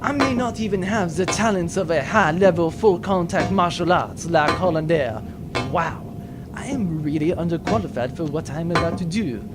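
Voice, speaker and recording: A man's voice: on the high side, expressive, normal speed, over loud music, close to an everyday voice. An actor playing an earnest, self-doubting French spaceship crewman in a comic audio drama, formal and hesitant, with a French accent. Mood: anxious, resolute. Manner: formal, hesitant, with odd word choices.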